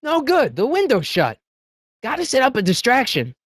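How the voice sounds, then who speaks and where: A man voicing an earnest, excitable teenage boy adventurer in a video game, prone to stammering when flustered. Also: very high voice, moderate pace, husky, dry recording, studio quality, full character voice. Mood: anxious, scheming.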